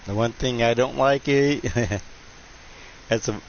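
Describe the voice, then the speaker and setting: A man's voice: mid-range voice, slow. A man hosting a live game stream, chatting casually in a nasal, joking, self-deprecating way. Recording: dull lo-fi stream mic with faint hiss.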